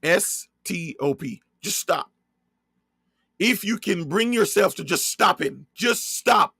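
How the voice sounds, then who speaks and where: A man from Jamaica with a Jamaican accent, preaching in a slow sermon-style monologue and hammering his points home by repeating and spelling out key words. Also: high-pitched, expressive, gravelly, bright, forceful tone, slightly rough, no room sound, studio quality.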